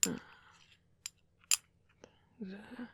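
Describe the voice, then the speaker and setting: A man voicing a caring, gentle vampire priest in a whispery, breathy ASMR roleplay, speaking hesitantly and reassuringly. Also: very slow. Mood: soft, caring. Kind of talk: soft-spoken ASMR roleplay.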